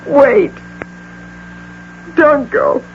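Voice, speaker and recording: A woman's voice: sits high, lively intonation, very slow, vocal fry, exaggerated voice. Through a tinny, hissy old radio comes an imperious middle-aged woman executive. She is curt and proud, with intense, desperate feeling in the manner of a radio drama. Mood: pleading. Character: imperious, high-powered businesswoman desperate over her missing imagined daughter. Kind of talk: 1980 radio drama acting.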